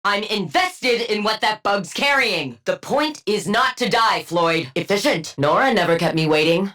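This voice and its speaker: Icy villainess scientist, pompous, dry wit, precise diction, expressive pitch, video game character. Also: female voice, unhurried. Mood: smug, sardonic. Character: haughty, clinical female supervillain scientist with icy wit. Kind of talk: scripted game character voice lines.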